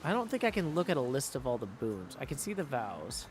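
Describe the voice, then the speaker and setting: A man's voice: mid-range voice, big pitch swings, a little fast, music in the background. A man doing casual live game commentary, thinking aloud and reading game text out as he plays. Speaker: game streamer practicing voice acting.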